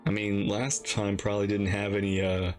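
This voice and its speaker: A man hosting a game reaction, commenting in an excited, exclamatory way and often repeating himself. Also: lowish voice, normal speed, music in the background. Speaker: reaction video host.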